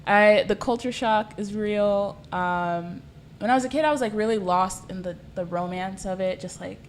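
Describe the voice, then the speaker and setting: A woman's voice: medium pitch, normal speed, a little hiss or hum. A woman in her twenties from South Sudan, a podcast guest chatting casually and filling her talk with "like" and "um". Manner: chatty, full of fillers like "like" and "um". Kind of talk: casual chat.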